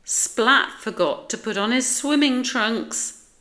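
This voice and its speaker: A British woman voicing a boastful, teasing young boy cat with an excitable manner in a storybook. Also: mid-range voice, unhurried, voice pushed up, lightly acted. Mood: taunting.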